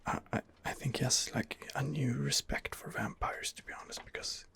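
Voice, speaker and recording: A man's voice: mid-range voice, flat delivery, moderate pace, vocal fry, a bit bright, breathy edge. A man giving whispered ASMR commentary on a game as its host, in a hesitant, rambling manner. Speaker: gaming video host.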